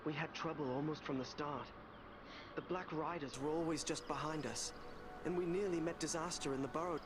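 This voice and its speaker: An actor playing an earnest, grateful young hero in a fantasy game, speaking formally and from the heart. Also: male voice, a little high, steady tone, fairly quick, background noise, lightly acted. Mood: earnest, friendly. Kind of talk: video game cutscene acting. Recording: loud music behind the voice.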